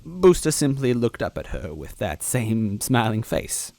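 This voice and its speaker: Low-voiced man reading a story aloud. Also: unhurried, no room sound, clean studio sound. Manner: expressive, adds asides to the listener. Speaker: narrator, story reader. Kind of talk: reading fan fiction aloud.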